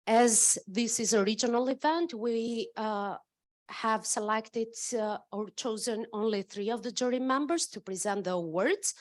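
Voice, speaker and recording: A woman moderating an awards ceremony, announcing formally with many pauses and fillers. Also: on the high side. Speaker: event moderator.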